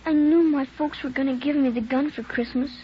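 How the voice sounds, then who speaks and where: Lady doing a little boy voice, high, teary and pleading, old muffled radio sound. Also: fairly even intonation, brisk, a little hiss or hum, close to an everyday voice. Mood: tearful. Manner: pleading, earnest. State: tearful. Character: frightened nine-year-old boy. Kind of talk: 1940s radio acting. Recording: dull old radio broadcast.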